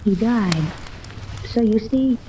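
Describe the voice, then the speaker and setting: An old radio recording with faint noise holds a sheltered young island woman. Her voice is very soft-edged and mellow, pitched fairly high, and she speaks with formal, wistful gravity in 1930s serial style. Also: takes it slowly, poor audio. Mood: grave warning.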